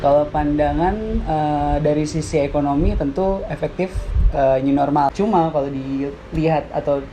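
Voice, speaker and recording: A young woman in her twenties answers in a measured, hesitant way. Her voice is low and mellow. Faint noise sits behind her. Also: steady tone, rough recording. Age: 20s. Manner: measured, hesitant, many "eh" fillers. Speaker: interviewee. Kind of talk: interview answers.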